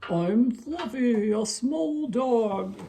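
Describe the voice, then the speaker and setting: A woman's voice: on the high side, expressive, very slow, creaky, mellow, a little hiss or hum, heavily acted. A woman voicing a playful small dog puppet in a sing-song manner for a children's show. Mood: friendly.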